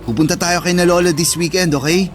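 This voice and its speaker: An actor playing a protective, caring older brother in an emotional radio drama, speaking earnestly and emphatically. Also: male voice, high-pitched, steady tone, brisk, faint music behind, lightly acted. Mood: reassuring. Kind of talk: radio drama acting in Tagalog.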